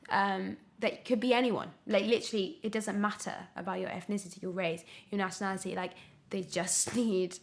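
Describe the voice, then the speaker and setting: Young female actress as podcast guest, gravelly creak, reflective and halting, conversational delivery. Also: mid-range voice, steady tone. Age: young adult. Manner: hesitant, reflective, thinking aloud. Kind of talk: candid casual interview conversation.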